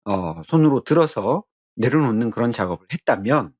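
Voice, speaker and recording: A man giving an explanatory technical lecture as an instructor, speaking haltingly and repeating his key phrases. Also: medium pitch, lively intonation, a little mellow, husky, dry recording, highs cut off.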